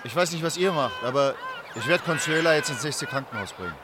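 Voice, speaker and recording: A man's voice: a little high, expressive, brisk, very noisy, natural voice. An actor playing a determined, worried man who leads a group and speaks earnestly in a German radio crime drama. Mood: resolute. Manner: resolute, earnest. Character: determined, worried male commune leader defying the rules.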